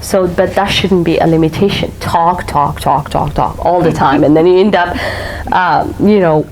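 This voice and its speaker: A woman's voice: lowish voice, normal speed, a little mellow, a little hiss or hum, low-quality recording. A woman from Africa with an Eritrean accent, speaking as a guest author at a library talk, laughing often and repeating words for emphasis. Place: public library room.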